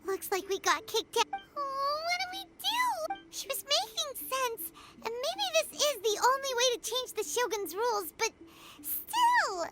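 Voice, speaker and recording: A tiny, earnest fairy sidekick for a cartoonish video game. The voice is extremely high, childlike and squeaky, jumping up and down as it chatters and frets. Loud music runs underneath. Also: female voice, sing-song, a little slow, full character voice. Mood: worried, pondering. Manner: chattering, hesitant, exclamatory.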